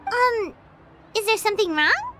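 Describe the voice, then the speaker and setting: A woman voicing a tiny, childlike, excitable fairy companion in an anime game, chirpy and full of eager exclamations. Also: very high-pitched, unhurried, very noisy, exaggerated voice. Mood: curious, eager. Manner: refers to self in third person, exclaims eagerly. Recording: loud game music behind.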